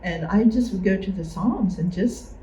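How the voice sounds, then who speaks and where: A woman's voice: mid-range voice, moderate pace, mellow. A woman casually sharing a personal memory, hesitant and searching for her words. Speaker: church member recalling past challenges. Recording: echoey room with faint noise.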